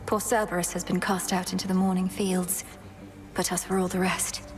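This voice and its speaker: A woman's voice: fairly low pitch, little pitch movement, over music, close to an everyday voice. Woman playing a solemn young witch princess. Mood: somber. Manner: formal, measured, earnest. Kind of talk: video game character dialogue.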